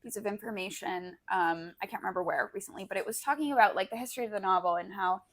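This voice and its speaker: A woman's voice: mid-range voice, quick pace, a bit bright. A young woman co-hosting a podcast, chatting casually in a rambling, slangy way peppered with "like". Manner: slangy, rambling, hedging with frequent "like". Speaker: podcast co-host. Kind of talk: casual conversational podcast chat.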